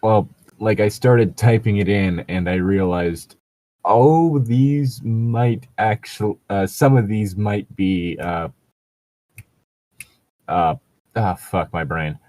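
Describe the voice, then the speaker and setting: A man's voice: fairly low pitch, slow, warm tone, dry recording. A man with a rambling, sweary, giggly way of talking, hosting a comedic show of casual banter and reading aloud. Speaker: host of a bad fan fiction reading show.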